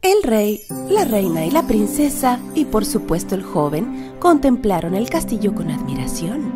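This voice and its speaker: A woman narrating a children's fairy tale in an expressive, dramatic storytelling manner. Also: mid-range voice, measured pace, music in the background.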